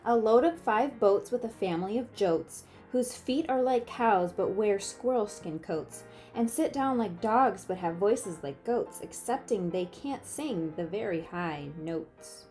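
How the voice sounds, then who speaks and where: A woman's voice: medium pitch, expressive, a little slow, hardly any pauses, dark tone, faint music behind, lightly acted. A woman voicing an eager, boastful young boy in a storybook, in singsong rhyming declarations.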